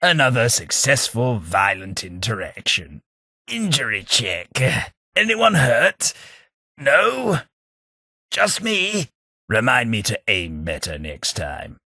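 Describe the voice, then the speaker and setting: A man voicing a posh ghoul gentleman companion in a video game, dry, sardonic and fussy. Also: medium pitch, big pitch swings, slow pace, gravelly, no room sound, exaggerated voice. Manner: dry, sardonic, prim understatement.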